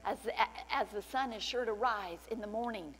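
A middle-aged woman pastor preaching a sermon with fervent praise and scripture reading, her voice slightly cracking from loudness. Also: medium pitch, moderate pace. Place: large church stage indoors. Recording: long hall reverb, faint hum.

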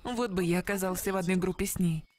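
A woman voicing an impulsive, naive teenage boy hero in an animated series dub. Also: lowish voice, fast, close to an everyday voice. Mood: grumbling.

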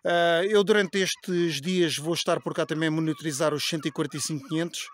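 A man's voice: a little high, fairly even intonation, fairly quick. A man in his forties speaking courteously as an amateur radio operator, recorded outdoors on a phone at arm's length. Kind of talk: casual radio contact chat. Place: outdoors on a hillside above a lake.

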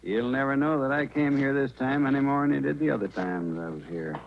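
An actor playing a terrified, disbelieving intruder in a 1940s radio drama. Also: male voice, mid-range voice, a little fast, vocal fry, natural voice. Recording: muffled, noisy 1940s radio recording.

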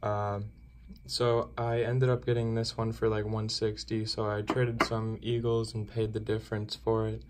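A young man chatting casually as a coin-collecting vlogger, relaxed and rambling with filler words, filmed on a phone. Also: on the low side, measured pace, a little hiss or hum. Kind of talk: casual vlog chat. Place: car, then indoors at a desk.

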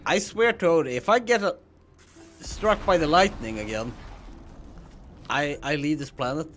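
A man's voice: a little high, expressive, normal speed, fairly bright tone, slight background noise. A man chatting and joking casually while playing a game with friends. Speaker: gamer playing along. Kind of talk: casual gaming banter.

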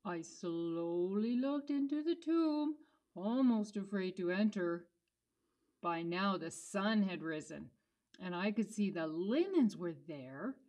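A gentle young disciple in a children's puppet show, played by a woman. The delivery is slow and careful at a medium female pitch, with a hesitant air.

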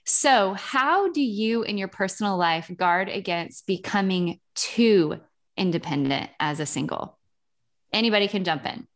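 A woman's voice: mid-range voice, lively intonation, a little slow. Upbeat woman hosting a panel. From Texas. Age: 40s. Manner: upbeat, welcoming, talkative. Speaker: podcast host. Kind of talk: video call podcast panel. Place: home room indoors. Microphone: video call with headset mic.

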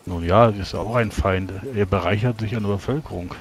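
A man speaking in character in a tabletop role-playing game, arguing in a deliberate, formal way. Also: fairly low pitch. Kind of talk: in-character improvised game dialogue.

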